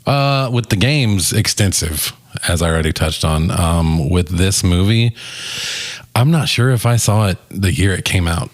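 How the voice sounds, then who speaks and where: A man's voice: low voice, animated, a little slow. A man co-hosting a casual film review podcast, reacting with wry, sweary quips. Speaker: podcast co-host.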